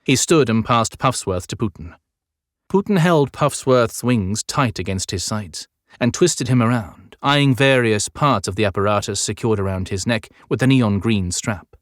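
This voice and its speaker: A man's voice: lowish voice, animated, normal speed, no echo, clean studio sound. A man narrating an audiobook in a scripted, dramatic storytelling style.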